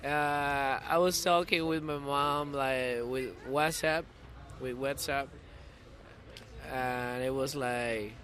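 A man from the Canary Islands with a Canarian Spanish accent, chatting casually in halting second-language English during an interview. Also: medium pitch, steady tone, bright, forceful tone. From Canary Islands, Spain. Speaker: podcast guest, visiting artist. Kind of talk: casual street interview chat. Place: outdoors on a New York street. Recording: busy city street with voices around.

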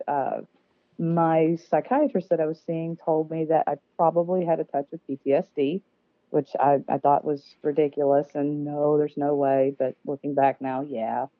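A woman with a very low voice answers interview questions over a tinny, phone-like line with dry sound. She sounds nervous and self-deprecating, with plenty of fillers along the way. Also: steady tone, measured pace, no room sound. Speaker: podcast guest. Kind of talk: casual interview conversation. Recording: dry, phone-like remote call audio.

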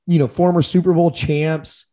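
A young man co-hosting a casual sports podcast, animated and slangy, his voice a bit worn. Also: a little high, normal speed. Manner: animated, laughs often, slangy. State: voice partly lost. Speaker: podcast co-host.

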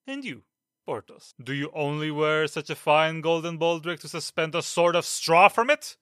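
A bright, forceful voice belongs to a stern old captain of musketeers, as a man acts him out, berating his men with biting irony. His pitch leaps and plunges as the anger swells into shouts. It is an audiobook drama recorded close and dry. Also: sing-song, slow, dry recording, full character voice. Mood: sarcastic fury. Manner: angry, ironic scolding with sudden shouts.

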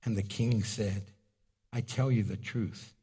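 A male pastor whose first language was Spanish, preaching a sermon in a storytelling way that jumps into loud, high bursts. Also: fairly even intonation, takes it slowly, slightly rough.

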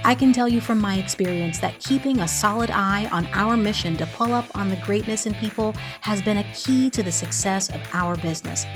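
A woman co-host reading a scripted podcast outro in an upbeat, measured way. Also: medium pitch.

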